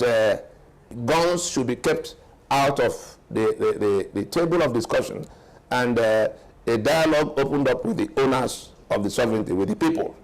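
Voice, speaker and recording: A man's voice: mid-range voice, poor audio. A man delivering a firm political statement.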